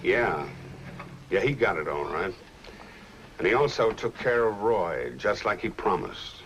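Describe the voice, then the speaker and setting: An actor playing a calm, shrewd private detective in a 1950s film noir, with an unhurried, wry delivery. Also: male voice, deep voice, heavily acted. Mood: matter-of-fact. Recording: noisy old film soundtrack.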